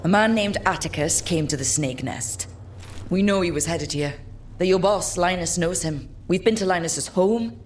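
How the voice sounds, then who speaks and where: Lowish-voiced, confident, wry adventuring woman by an actress. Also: moderate pace, slight background noise, natural voice. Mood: firm, determined. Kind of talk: video game character acting.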